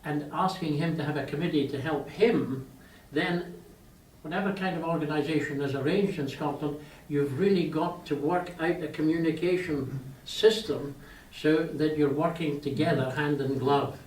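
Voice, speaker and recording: A slightly high-voiced man chairs a meeting in a measured, formal tone. He is recorded from a distance in an echoey room. A faint hiss sits in the background.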